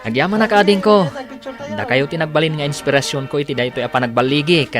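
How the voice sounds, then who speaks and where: A man's voice: a little high, fast, natural voice. An actor playing a humble, dutiful young student father in a radio drama, sincere and modest. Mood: grateful, moved. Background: background music.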